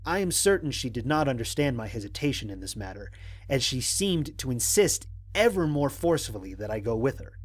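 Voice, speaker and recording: A man playing a stranded space explorer who narrates his mission log in a measured, formal, dryly humorous way. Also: medium pitch, sing-song, breathy edge. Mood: measured, analytical. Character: stranded male space explorer, analytical, wryly exasperated. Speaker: narrator of an audio drama. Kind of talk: scripted first-person mission log narration.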